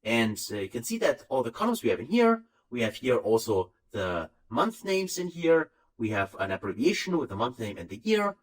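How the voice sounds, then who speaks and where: A man whose first language is German walks through a software tutorial step by step, stopping now and then to correct himself. His pitch rises and falls a lot as he explains. The voice sounds set back from the microphone. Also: big pitch swings, moderate pace, few pauses, recorded from a distance, rough recording. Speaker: software instructor. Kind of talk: screen-recorded software tutorial.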